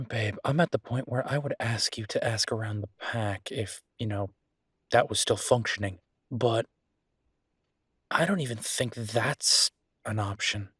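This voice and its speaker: A man playing an affectionate, playfully grumbling young werewolf chef in an intimate, casual boyfriend roleplay audio, chatty and halting. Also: on the low side, fairly bright tone, breathy edge, no echo, clean studio sound. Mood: grumbling, weary.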